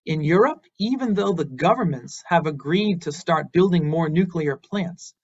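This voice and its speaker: Guy giving an online lecture, bit high voice, earnest and encouraging, kinda muffled audio. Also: lively intonation, moderate pace, darkish tone, breathy edge. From Boston, United States. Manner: earnest and encouraging, with some fillers. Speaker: university lecturer.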